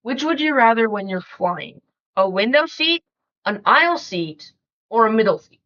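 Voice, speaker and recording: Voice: medium pitch, animated, normal speed, bright, forceful tone, lo-fi sound. A twelve-year-old child reading out questions and chatting casually on a family podcast. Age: twelve years old.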